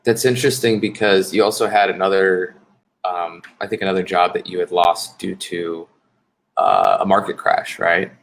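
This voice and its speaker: A man's voice: low voice, animated, normal speed, gravelly, a bit bright, a little hiss or hum. A man hosting an interview, listening closely and asking long follow-up questions. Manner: attentive, frequent short backchannels. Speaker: interview host, job coach.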